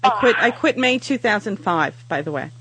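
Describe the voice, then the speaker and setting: A woman's voice: mid-range voice, expressive, moderate pace, a bit bright, slightly rough. A woman in her thirties hosting a casual talk show, sounding nasal after just waking up, rambling and crude with lots of fillers. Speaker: podcast host.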